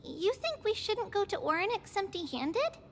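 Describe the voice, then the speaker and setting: A woman voicing a caring, responsible little girl in a video game, speaking in a gentle, encouraging, articulate way. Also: extremely high pitch, sing-song, normal speed, a little hiss or hum. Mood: thoughtful. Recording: music behind the voice.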